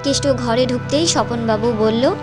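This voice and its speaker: A woman's voice: a little high, normal speed, loud music behind the voice. A woman narrator reading a scripted story in a storytelling style.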